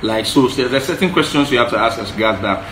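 A man's voice: medium pitch, brisk, fairly bright tone. Yoruba-accented man in his 30s, earnest co-host giving emphatic advice from a roomy living room, mid-range voice. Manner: earnest, emphatic, repeats himself. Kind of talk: casual relationship advice chat. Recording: echoey room, faint hiss.

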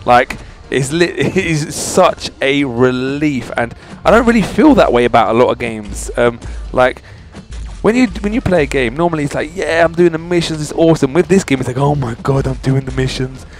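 A man's voice: mid-range voice, animated, moderate pace, soft background music. A man hosting a gaming channel, chatting casually and in exasperation to his viewers as he comments on his play. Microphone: voice-over over mobile game footage.

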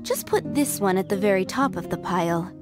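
A woman's voice: medium pitch, lively intonation, brisk, lightly acted. A woman voicing a polite, composed young head maid in a video game, speaking formally and courteously. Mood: gracious. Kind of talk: video game character dialogue. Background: game music.

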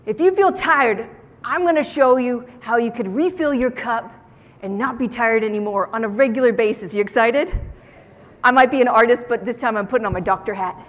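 A woman giving a motivational keynote talk to an audience on stage, with an animated, theatrical delivery and playful emphasis. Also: fairly high pitch, brisk. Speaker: keynote speaker. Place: large indoor conference room, on stage. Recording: echoey conference hall sound.

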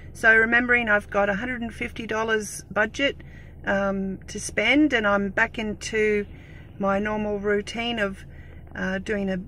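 An older Australian woman vlogging casually about her budget grocery shop, listing items with lots of ums and pauses, recorded on a phone. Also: mid-range voice, bright, forceful tone, slight background noise. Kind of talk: casual grocery haul vlog. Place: inside a parked car. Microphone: phone camera at arm's length.

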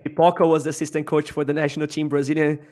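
A man's voice: on the high side, little pitch movement, very fast, a little mellow. A man in his thirties hosting a futsal podcast, asking questions eagerly over a headset on a video call. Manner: enthusiastic questioner, some restarts. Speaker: podcast host.

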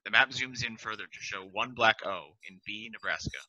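A man reading stage directions in a flat, matter-of-fact manner. His voice is low yet strikingly bright and tense, picked up dry and close by a headset. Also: a little fast, no room sound, dull audio.

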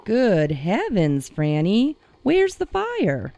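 A woman's voice: mid-range voice, huge pitch swings, extremely slow, mellow, heavily acted. A woman playing a composed, teasing deputy in her early sixties in an audio drama. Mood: wry, calm.

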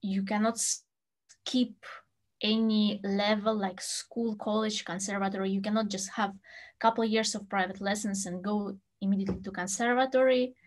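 A woman's voice: mid-range voice, fairly even intonation, moderate pace. Tatar-speaking woman pianist in an interview. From Russia. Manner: non-native English, thoughtful, laughs easily. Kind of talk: interview answers. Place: home room with a piano, indoors.

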